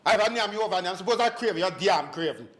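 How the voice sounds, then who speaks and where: A flustered, evasive Jamaican businessman in a comic stage play. He stammers with exasperated indignation, his pitch leaping about. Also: animated, fast, a bit bright. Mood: indignant. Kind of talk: comedic stage play acting.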